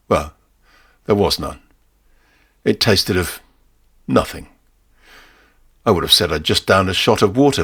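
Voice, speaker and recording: Male narrator, very low and husky, dramatic first-person short fiction, wry and theatrical. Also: fairly bright tone. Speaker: story narrator and performer.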